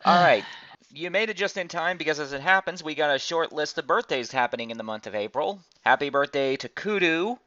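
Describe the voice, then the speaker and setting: A man's voice: on the high side, expressive, brisk, pushed and bright, no echo. A man hosting a casual podcast over online voice chat with dry, teasing delivery and slightly dull sound. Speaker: podcast host.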